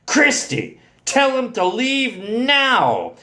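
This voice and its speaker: A man voicing an angry, domineering older uncle who scolds and commands forcefully in a dramatised story. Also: extremely high pitch, wildly swooping pitch, very slow, pitched above the performer's own voice, full character voice. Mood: furious shouting.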